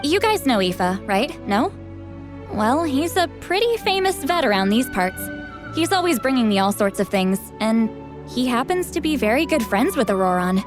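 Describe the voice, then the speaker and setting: Tribe mentor lady in a game, kinda high youthful voice, frank and protective, sure of herself. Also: big pitch swings, normal speed, over music. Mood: serious. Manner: candid, informal, self-assured. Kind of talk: video game voice acting.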